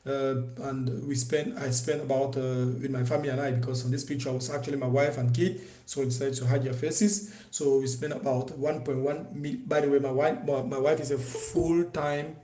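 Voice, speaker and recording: Animated man from Cameroon, echoey room. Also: medium pitch, moderate pace, hardly any pauses. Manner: animated, repetitive, frequent restarts and fillers. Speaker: online business course instructor. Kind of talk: unscripted course presentation.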